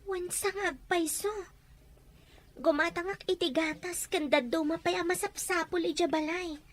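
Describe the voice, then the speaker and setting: A woman's voice: very high-pitched, fairly even intonation, moderate pace. A woman playing an upset grown daughter who supports her parents, in an Ilocano radio drama, with an Ilocano accent. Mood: subdued, worried.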